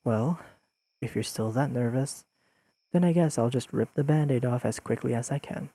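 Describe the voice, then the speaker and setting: A man playing a deadpan, pedantic but secretly fond young friend in an intimate audio roleplay, speaking in precise, dryly teasing sentences to the listener. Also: medium pitch, normal speed, dry recording, natural voice. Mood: dry, soft teasing. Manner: deadpan, precise, formal wording with dry teasing.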